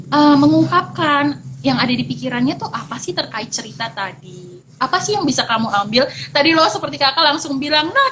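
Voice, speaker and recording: A young adult woman explains things in a casual, expressive storyteller's way, as a guest speaker. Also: high-pitched, a little hiss or hum, poor audio.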